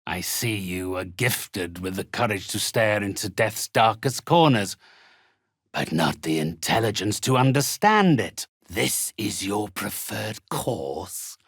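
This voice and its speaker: Haughty, husky, theatrical necromancer. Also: male voice, on the low side, lively intonation, fairly bright tone, no room sound, clean studio sound, exaggerated voice. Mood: disdainful. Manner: pompous, condescending, ornate measured delivery. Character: haughty, theatrical male necromancer and spirit medium, undead. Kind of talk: video game character acting.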